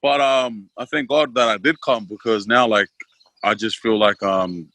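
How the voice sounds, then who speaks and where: A young Australian man in his twenties chats easily as a podcast guest, full of fillers and quick laughs. His voice is bright and forward, recorded close and dry. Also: lowish voice, steady tone, no room sound. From Queensland, Australia. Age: 20s. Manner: laid-back, many fillers, laughs easily. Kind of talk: casual interview talk.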